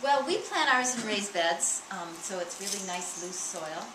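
A woman who runs a farm stand, explaining her growing methods in a measured, practical, step-by-step way. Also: medium pitch, animated. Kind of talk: casual explanation to a visitor. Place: inside a small farm stand. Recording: distant voice, echoey room, loud noise.

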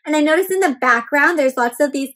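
A young woman with a high voice hosts story time for little kids. She speaks in an animated, expressive way, pausing to ask the children questions as she reads. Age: young adult. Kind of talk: interactive read-aloud for young children. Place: small indoor room at home with bookshelves and plants.

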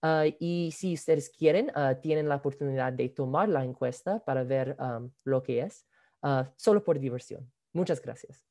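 A student presenting research at an online academic conference, reading a prepared talk with frequent "ah" fillers. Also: male voice, medium pitch, moderate pace, dark tone. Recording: video call audio.

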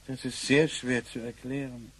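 An actor playing an older, apologetic, hesitant grandfather with a husky voice in a radio play. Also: mid-range voice, steady tone, normal speed, fairly bright tone, rough recording. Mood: resigned, hesitant. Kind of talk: German radio play acting.

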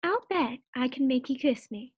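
A woman's voice: sits high, lively intonation, moderate pace, highs cut off, slight background noise. A woman playing a sassy, playful, easily exasperated wife in an old-time radio sitcom style. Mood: coaxing, playful.

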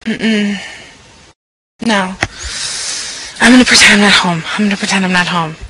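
Frightened teen girl home alone, played by a young woman; bright, tense voice, nervous and whispery, faint room noise behind her. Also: mid-range voice, moderate pace, close to an everyday voice, poor audio. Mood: anxious. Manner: nervous, panicky, whispering to herself. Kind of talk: amateur horror skit acting.

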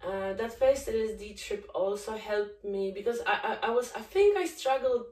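A woman's voice: medium pitch, normal speed. A woman in her thirties from Poland, a native Polish speaker with a Polish accent, telling her life story openly as a documentary maker being interviewed. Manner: open, candid, animated in places. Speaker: guest, documentary filmmaker. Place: living room, indoors. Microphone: far camera. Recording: echoey room, far from mic.

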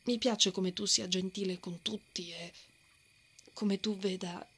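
A woman voicing a brave, hot-tempered female knight with a secret soft heart, in an ASMR roleplay, blustering and easily flustered. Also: medium pitch, fairly even intonation, a little slow. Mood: flustered, shy. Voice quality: breathy, often dropping to a whisper. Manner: tsundere, blustering, flustered stammering.

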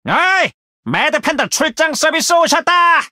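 A woman's voice: high voice, sing-song, unhurried, bright, forceful tone, no room sound, studio quality, exaggerated voice. A woman voicing a cheeky, hot-tempered little panda mercenary in a game, with punchy, blustering delivery. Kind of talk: Korean mobile game character voice lines.

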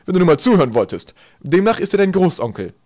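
A man plays a bossy, self-important father from a comic storybook. He declaims loudly and emphatically, in a raised pitch. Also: big pitch swings, quick pace. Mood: irritated. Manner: pompous, emphatic. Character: pompous, loud, bossy father of a boy. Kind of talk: comic children's audiobook character.

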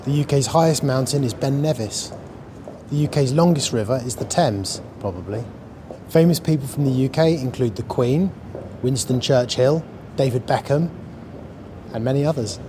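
A man, listing facts briskly outdoors. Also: medium pitch. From United Kingdom. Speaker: street interviewee. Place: city street outdoors. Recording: outdoor street noise.

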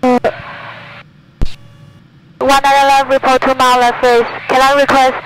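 A woman's voice: high-pitched, flat delivery, measured pace. A young woman, a student pilot, making radio calls to air traffic control through a headset. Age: young adult. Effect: aviation radio. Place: small airplane cockpit. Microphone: aviation headset. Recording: noisy aircraft radio and engine hum.

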